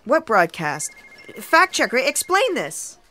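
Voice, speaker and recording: A woman's voice: on the high side, huge pitch swings, slow, bright, forceful tone, heavily acted. An actress playing a brusque, impatient female editor-in-chief in a comic sci-fi audio play. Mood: impatient, bossy. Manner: curt, impatient, demanding.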